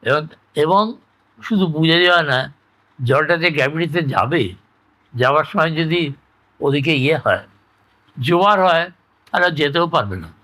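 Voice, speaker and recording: An older man with a West Bengal Bengali accent, explaining things in a halting, repetitive, conversational way as he reminisces. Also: fairly high pitch, lively intonation, bright, forceful tone, poor audio. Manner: halting, repetitive explaining with frequent tag words. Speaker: interviewee recounting his experience. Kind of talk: conversational oral-history reminiscence.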